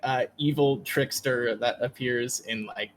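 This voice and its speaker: A man's voice: mid-range voice, fairly even intonation, measured pace. A man who works as a voice actor, chatting casually and hesitantly on a panel, rambling a little with informal language. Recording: noisy convention stream audio.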